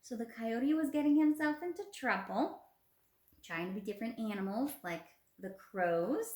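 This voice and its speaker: A woman's voice: a little high, animated, normal speed, a little mellow. A young woman teacher leading a children's read-aloud lesson, encouraging and prompting with simple questions, recorded on a phone. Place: small indoor room at home. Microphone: phone at arm's length. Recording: roomy, echoey phone recording.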